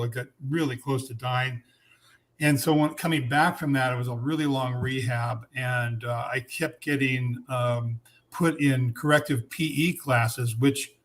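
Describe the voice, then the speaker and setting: A man in his seventies tells his story in an unhurried, reflective way. He often pauses on "uh" and "um". His pitch sits at an ordinary middle level. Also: a bit bright. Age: 70s. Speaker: guest. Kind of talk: casual chat.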